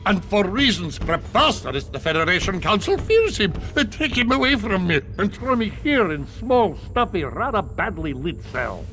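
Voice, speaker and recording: High, swooping mad alien scientist. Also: male voice, big pitch swings, normal speed, few pauses, fairly bright tone, a little hiss or hum. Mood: boastful, scheming. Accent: mock Russian. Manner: theatrical, grandiose, broken grammar. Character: eccentric boastful alien mad scientist, adult male. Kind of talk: animated video game voice acting.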